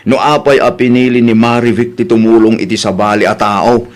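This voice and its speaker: A man's voice: mid-range voice, fairly even intonation, brisk, lightly acted. A man with an Ilocano accent playing a blunt, exasperated husband who chides his wife in a comedy drama. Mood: reproachful.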